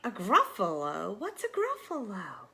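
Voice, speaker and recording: A woman's voice: medium pitch, huge pitch swings, measured pace, bright, forceful tone, exaggerated voice. A woman voicing a sly, hungry fox in a picture book, speaking in a coaxing way.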